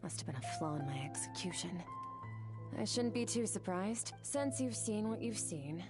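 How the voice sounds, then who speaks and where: A woman's voice: medium pitch, lively intonation, normal speed, close to an everyday voice. A woman voicing an aloof female swordmaster from another world in a game cutscene, talking in a clipped, matter-of-fact way. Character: aloof female swordmaster from beyond the stars, cool. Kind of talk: video game cutscene dialogue. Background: game music.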